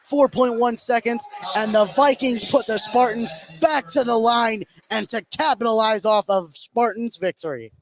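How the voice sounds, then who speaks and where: A man's voice: extremely high pitch, moderate pace, over music, low-quality recording. An American man giving live play-by-play commentary as a basketball announcer.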